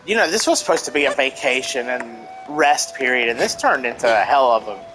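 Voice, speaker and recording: A man's voice: medium pitch, expressive, a little fast, bright, forceful tone, soft background music, rough recording. A man giving casual commentary as he plays through a video game. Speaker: let's play host.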